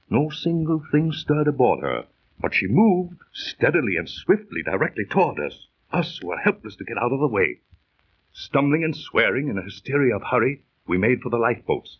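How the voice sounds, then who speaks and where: Excitable landsman adventurer, 1930s radio drama, animated pitch, slightly rough voice, dulled vintage sound. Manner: dramatic, storytelling delivery. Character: excitable landsman adventurer, haunted by a ghost ship. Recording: muffled, husky-sounding old 1930s radio recording.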